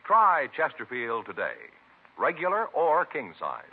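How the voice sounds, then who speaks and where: Male commercial announcer, 1950s radio. Husky, emphatic, animated selling, dull old recording. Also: mid-range voice, unhurried. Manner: emphatic sales delivery. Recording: muffled vintage radio recording.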